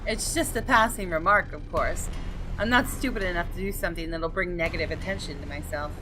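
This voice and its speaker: A woman's voice: on the low side, brisk, close to an everyday voice, low-quality recording. A woman reading visual novel narration dramatically, voicing the thoughts of an arrogant, menacing gun owner. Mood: smug, scornful. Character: haughty, menacing gunman homeowner narrating his thoughts. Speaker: gaming video host. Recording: clear music behind the voice.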